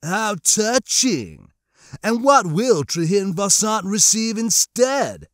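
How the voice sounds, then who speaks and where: A sly spider-like alien schemer played by a man drawls slowly in a swooping, higher-set voice. The creature is unctuous and theatrically sarcastic, all false flattery, in an audiobook with a dry, close sound. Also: dry recording, exaggerated voice. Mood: mocking curiosity. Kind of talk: audiobook character acting.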